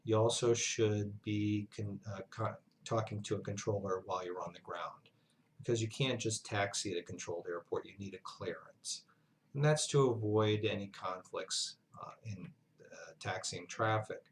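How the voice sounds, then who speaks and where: Guy with a lowish voice doing a lesson, careful and halting, bit of background noise. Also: fairly even intonation. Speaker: flight instructor, university lecturer. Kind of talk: instructional narration, explanatory lesson.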